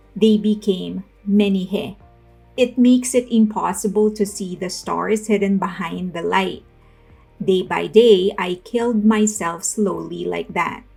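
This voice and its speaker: A young woman hosting a commentary channel, speaking casually to camera and then reading a scripted voice-over analysis in an even, explanatory way. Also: mid-range voice, creaky, breathy edge. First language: not Korean. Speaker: video essay host. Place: home room with plush toys.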